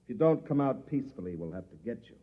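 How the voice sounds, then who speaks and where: A man's voice: medium pitch, sing-song, fast talker. An actor playing a coolly menacing male agency boss in mid-century American radio drama, formal and commanding. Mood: cold threat. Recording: muffled lo-fi old radio recording.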